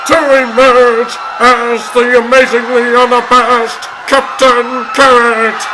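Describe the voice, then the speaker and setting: A man voicing a bold, excitable rabbit superhero in a theatrical, grandiose comic dub. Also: very high voice, takes it slowly, noisy background. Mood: grandiose, amazed.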